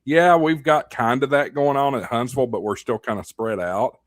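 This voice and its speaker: A man's voice: mid-range voice, moderate pace, no room sound. A man from Tennessee chatting casually, with folksy, joking banter.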